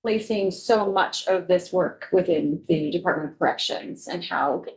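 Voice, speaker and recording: A woman state representative questioning a witness at a committee hearing, pausing and rephrasing as she goes. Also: on the low side, rough recording. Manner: hesitant, rephrases her questions.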